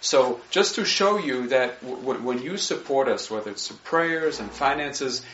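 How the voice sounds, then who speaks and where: A man's voice: medium pitch, lively intonation, a little slow, a bit bright, a little hiss or hum. A man from Switzerland with a slight Swiss accent, presenting his mission work to a congregation in an earnest way with lots of "you know" and "right?". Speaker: missionary speaker. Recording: roomy, dull sound.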